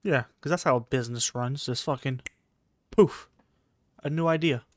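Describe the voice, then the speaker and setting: Very low-voiced sarcastic woman gamer. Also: normal speed. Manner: sarcastic, swearing back at the characters. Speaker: gaming video host. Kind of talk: casual game playthrough commentary.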